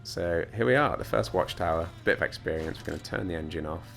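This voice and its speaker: A British man talks through his gameplay in a casual, unscripted way. His voice sits deep and crackles with fry, croaky as if from hay fever. He is wry and self-deprecating, with game music clearly playing behind him. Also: fast, a bit bright. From United Kingdom. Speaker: gaming let's player. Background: video game music and truck engine.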